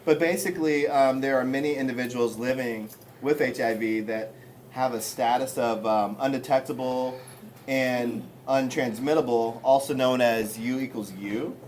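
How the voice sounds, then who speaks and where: A man hosting a community panel discussion, speaking with many pauses and fillers. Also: medium pitch, steady tone, darkish tone, a little hiss or hum. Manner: hesitant, many ums and uhs. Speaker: host.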